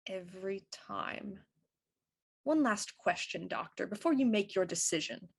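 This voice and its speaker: An actress playing a composed, shrewd Victorian police inspector in a radio mystery, speaking in a cool, deliberate, probing way. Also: female voice, mid-range voice, lively intonation, creaky, breathy edge, exaggerated voice.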